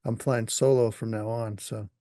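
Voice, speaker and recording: A man's voice: lowish voice, flat delivery, moderate pace, dark tone, dry recording. Laid-back male podcast host, dry sound. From New Brunswick, Canada. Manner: easygoing, many ums and uhs, laughs often. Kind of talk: casual interview chat.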